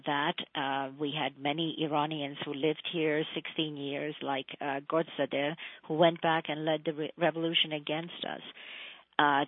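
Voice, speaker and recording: A middle-aged woman from Hungary, a Foreign Service officer, explaining her work earnestly and in measured phrases as a radio interview guest. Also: low voice, no echo. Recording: tinny phone line.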